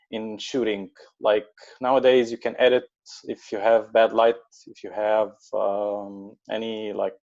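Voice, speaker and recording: A man with an Egyptian accent answers questions about his work in a halting, filler-heavy way. His voice sits on the lower side. The sound is dull and lo-fi, as if coming through a remote connection. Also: a little mellow. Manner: hesitant, many fillers and restarts. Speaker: guest photographer. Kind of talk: casual interview chat.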